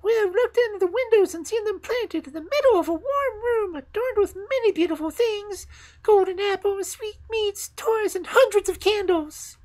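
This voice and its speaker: A shrill flock of chattering sparrows. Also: female voice, low-quality recording. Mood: excited twittering. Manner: shrill, fast, excited twittering.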